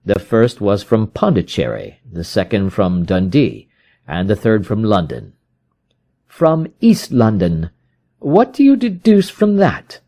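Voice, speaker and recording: A man's voice: lowish voice, big pitch swings, takes it slowly, mellow, voice pushed down, heavily acted. A man reading a storybook detective who is keen, confident and methodical, reasoning aloud with emphatic, probing questions.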